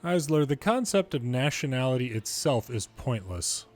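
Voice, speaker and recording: A man's voice: medium pitch, big pitch swings, moderate pace, a little mellow, faint music behind. A man reading video game dialogue aloud in English as the narrator of a longplay.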